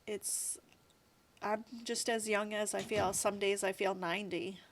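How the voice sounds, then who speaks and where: Thirties woman, interview guest, mid-pitched, rambling and chatty. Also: little pitch movement, a little slow, a little hiss or hum. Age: 30s. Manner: chatty, rambling, fills with "like" and "you know". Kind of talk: casual interview chat.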